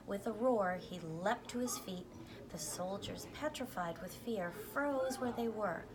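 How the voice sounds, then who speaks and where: A woman's voice: mid-range voice, unhurried, a crowd in the background. A woman reading a children's book aloud, now and then stumbling and restarting over words. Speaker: volunteer story reader.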